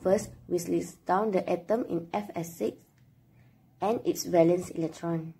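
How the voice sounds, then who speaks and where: Young female tutorial narrator, lowish husky voice, careful scripted read. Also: fairly even intonation, measured pace, mellow. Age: young adult. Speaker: student presenter.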